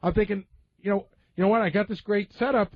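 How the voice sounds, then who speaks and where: A man's voice: sits high, steady tone, quick pace, dry recording. A man explaining his opinions bluntly in a casual talk radio discussion. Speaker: radio show staff member and panelist. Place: radio studio. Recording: slightly dull, lo-fi radio audio.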